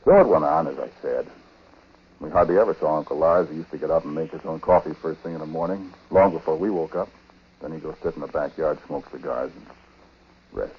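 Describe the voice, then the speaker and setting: An actor playing a haunted, uneasy husband, narrating a dark story in a halting, conversational 1940s radio style. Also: male voice, a bass voice, slightly rough. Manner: halting, conversational, brooding delivery. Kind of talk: 1940s radio drama acting and first-person narration. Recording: muffled, noisy vintage 1940s radio broadcast.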